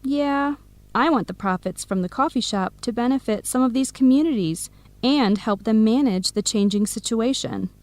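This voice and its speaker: A woman's voice: on the high side, normal speed, a little mellow, no room sound, lightly acted. An actress playing an earnest, playful young woman with coffee shop dreams in an educational radio drama. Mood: earnest, thoughtful.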